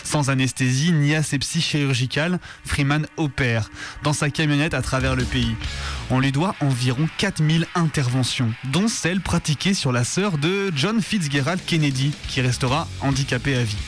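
A man's voice: medium pitch, normal speed, faint music behind. A man with a French accent hosting on radio, speaking into a studio microphone. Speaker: radio host. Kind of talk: live radio chat, then reading a documentary script.

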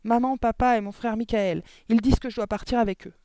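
A man voicing a bright, excitable little girl from a storybook, eager and insistent in speech. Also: on the high side, fairly quick, no echo, natural voice. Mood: panicked. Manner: exclaiming, urgent questioning.

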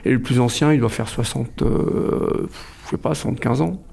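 Man, middle-aged, gravelly, hesitant, lots of fillers, casual interview. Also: mid-range voice, moderate pace, darkish tone. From France. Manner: hesitant, many fillers and restarts. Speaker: kung fu club president.

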